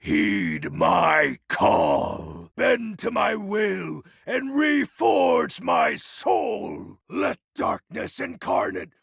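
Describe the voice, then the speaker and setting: An actor playing a grandiose, brooding shadow lord in a video game, speaking in a pompous, archaic, melodramatic way with a gravelly, husky voice. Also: male voice, high voice, huge pitch swings, drawn-out words, few pauses, a bit bright, no room sound, clean studio sound, exaggerated voice. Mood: triumphant summoning. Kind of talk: theatrical video game character acting.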